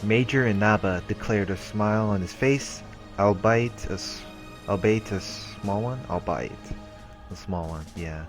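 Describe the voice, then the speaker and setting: A man with a low-pitched voice hosts a let's play, reading story text aloud and adding casual remarks. He sometimes trips over and repeats words, with game music behind him. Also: a little slow.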